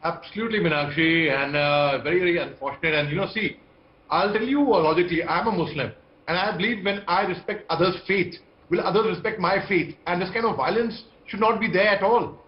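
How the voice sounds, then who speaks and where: A man's voice: high-pitched, a little fast. A man with an Indian accent giving emphatic, impassioned, repetitive commentary as a panelist on a TV debate over a video call. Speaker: TV debate panelist, army veteran. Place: indoor room on video call. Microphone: video call microphone. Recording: muffled, echoey video-call audio.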